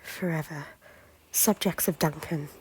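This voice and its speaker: A woman playing a soft-spoken stranger at a harbour who often half-whispers her lines in an amateur audio drama. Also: low-pitched, fairly even intonation, a little slow, breathy edge, dry recording, exaggerated voice, rough recording. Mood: hushed.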